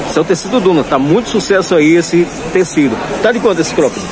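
A man filming a sales vlog through a busy clothing market, pitching goods in upbeat patter and repeating prices. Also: animated, a little fast. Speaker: clothing wholesale vlogger. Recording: noisy crowded market. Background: crowd chatter.

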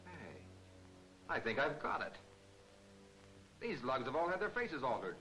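An actor playing a determined, no-nonsense police detective in a 1940s film serial, brisk and clipped. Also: male voice, medium pitch, wildly swooping pitch, pushed and bright, exaggerated voice, poor audio. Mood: resolute. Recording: old 1940s film soundtrack, music behind.

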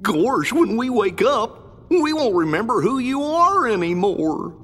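Goofy: a drawling goofy dog. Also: male voice, very high voice, sing-song, a little slow, a bit bright, in a large hall, heavily acted. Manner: drawling, folksy. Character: goofy good-natured dog, gentle and slow.

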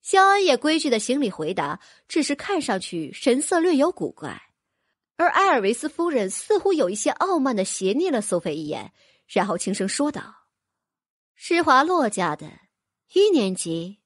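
A woman narrating a fantasy audiobook in a lively, expressive way. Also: sits high, moderate pace, breathy edge, no room sound, clean studio sound. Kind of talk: scripted fantasy novel narration.

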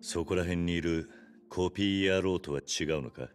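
Man acting a very deep, gruff stoic yakuza. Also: soft background music, natural voice. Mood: stoic, serious. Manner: terse, halting, blunt. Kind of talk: Japanese video game cutscene acting.

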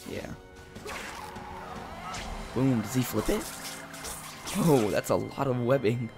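A man casually commenting as he plays a video game, chatty and talking himself through each move, his voice gravelly after just waking up. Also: mid-range voice, slow. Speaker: gameplay commentator. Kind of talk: casual let's-play commentary. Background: game music and sound effects.

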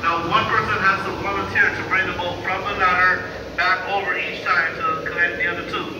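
A man's voice: high-pitched, little pitch movement, a little fast, distant mic, muffled recording. A man working as a cave tour guide, chatty and joking with the group as he talks them through the sights. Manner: chatty, jokey, addresses the group as guys. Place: underground cave aboard a tram. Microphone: filmed by a rider's phone from a few rows away. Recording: echoey cave from afar with loud vehicle noise.

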